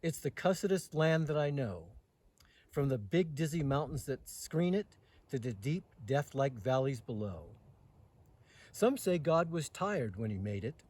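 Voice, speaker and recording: Male poetry reader, husky mid-pitched voice, dramatic phrasing with soft line endings, recorded outdoors. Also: very animated, a little slow. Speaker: host reading poetry aloud. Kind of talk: poetry recitation with casual introduction. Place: outdoors in the mountains.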